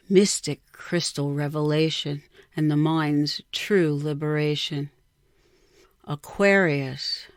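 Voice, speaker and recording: Low-voiced woman reading a personal story. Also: darkish tone, smooth, dry recording, studio quality. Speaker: writer or reader of a short story.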